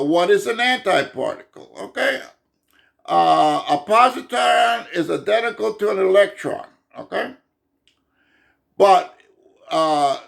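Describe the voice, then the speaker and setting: A hoarse man giving an unscripted explanatory monologue in a halting way, often pausing to check in with his listener. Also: high-pitched. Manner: halting, keeps checking in with "okay?". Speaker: self-published video explainer.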